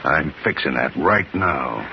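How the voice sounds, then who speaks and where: An actor playing a hard, vengeful gangster with a tough, menacing, slangy delivery in a 1950s radio drama. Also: male voice, very deep voice, expressive, takes it slowly, gravelly, full character voice. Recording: dull, faintly noisy old radio recording.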